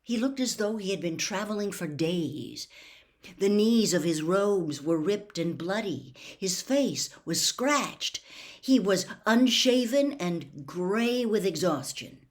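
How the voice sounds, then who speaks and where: A woman narrates a storybook in an expressive audiobook style. Her medium-pitched voice moves up and down in a lively way. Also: slow.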